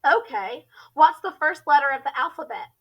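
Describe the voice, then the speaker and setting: A woman's voice: high voice, expressive, normal speed. A woman voicing a surly, bossy female gorilla teacher from a children's storybook, curt and snapping. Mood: gruff, dismissive.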